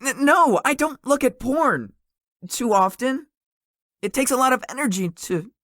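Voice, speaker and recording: A woman's voice: sits high, lively intonation, unhurried, a bit bright, no echo, studio quality. A woman voicing a shy, easily flustered, sweet young man in a visual novel, with a hesitant manner. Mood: flustered, embarrassed. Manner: hesitant, stammering when embarrassed. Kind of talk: visual novel character acting.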